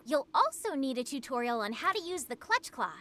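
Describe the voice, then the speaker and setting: An actress playing a confident, battle-hardened woman who briskly guides her hunter partner in a video game. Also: sits high, very animated, pushed and bright, a little hiss or hum, heavily acted. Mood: relaxed.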